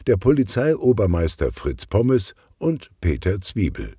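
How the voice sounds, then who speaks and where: A man narrating a children's detective story in an expressive, clearly articulated way. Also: lowish voice, a little slow, no room sound, studio quality. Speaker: audiobook narrator.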